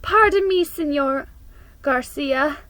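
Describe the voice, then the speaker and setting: A woman's voice: sits high, takes it slowly, pushed and bright, no echo, pitched above the performer's own voice, full character voice. A woman voicing a breathless, distressed village mother in a storybook reading.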